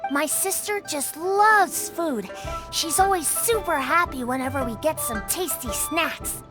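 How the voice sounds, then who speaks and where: A woman's voice: high-pitched, measured pace, music in the background. A woman voicing a shy, caring little boy in a video game, with a simple childlike way of talking. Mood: wistful. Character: poor little boy, caring selfless big brother.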